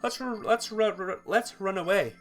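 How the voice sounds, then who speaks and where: A man voicing an earnest, anxious, protective teenage boy from a visual novel, halting and pleading. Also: sits high, faint music behind, pitched above the performer's own voice, lightly acted. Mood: urgent. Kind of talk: visual novel character read-aloud.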